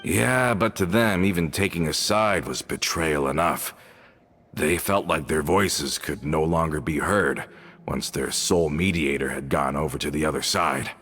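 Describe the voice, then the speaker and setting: A man's voice: rumbling low, a little slow, a bit bright, husky, heavily acted. A man playing a loyal guild member in a game, plain-spoken and a bit hesitant.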